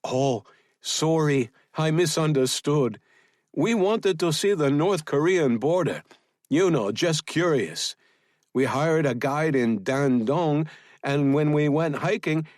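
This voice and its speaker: A feisty, defiant woman agent, played by a male audiobook narrator, speaking well above his natural pitch. The manner is insistent, and the sound is dry and close. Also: expressive, slow, no room sound. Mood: feigned innocence. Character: feisty, defiant young woman agent feigning innocent tourist.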